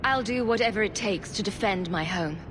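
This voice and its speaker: A woman playing an earnest, determined young heroine in a video game, calm, diplomatic and direct. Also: medium pitch, fairly quick, background noise, lightly acted.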